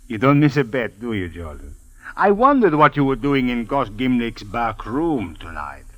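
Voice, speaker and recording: A man's voice: medium pitch, big pitch swings, a little slow. A man playing a brusque, skeptical lawman in an old radio drama, curt and questioning. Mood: amused. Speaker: supporting actor in a radio drama. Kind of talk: 1940s-50s radio drama acting.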